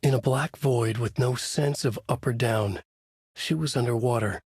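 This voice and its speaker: An actor playing a brooding, haunted male writer who gravely narrates his own horror story in a husky voice for a video game. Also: mid-range voice, normal speed, a little mellow, no room sound, clean studio sound. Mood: brooding, ominous.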